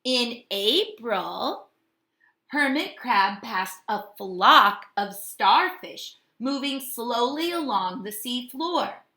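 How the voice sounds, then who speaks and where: A woman teacher giving a read-aloud lesson to young children, speaking in a deliberate, instructive way. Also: on the high side, lively intonation, bright, forceful tone. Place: home kitchen. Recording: echoey domestic room.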